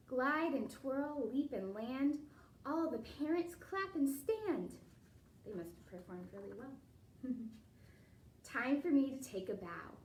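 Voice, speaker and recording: High-voiced woman teaching children, distant and roomy. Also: slight background noise. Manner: encouraging, instructive, addressing children directly. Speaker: children's ballet teacher and storytime host. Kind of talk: storybook reading and guided stretch class for young children. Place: living room at home. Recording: roomy, distant home recording with loud music.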